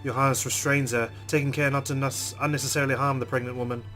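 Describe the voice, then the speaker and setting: Let's player, male, mid-pitched, reads game narration dramatically. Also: fast talker, music in the background. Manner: expressive reading with reactions. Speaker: let's player reading a visual novel. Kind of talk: dramatic first-person narration.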